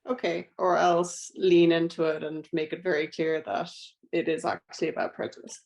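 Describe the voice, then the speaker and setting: A woman's voice: fairly low pitch, normal speed, creaky, a little mellow. A woman putting questions in a conversational question-and-answer discussion.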